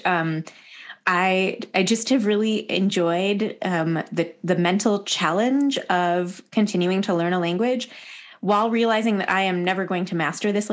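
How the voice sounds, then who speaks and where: Podcast host woman asking rambling questions. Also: on the low side. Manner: rambling, restarts, many ums. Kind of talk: conversational interview questions.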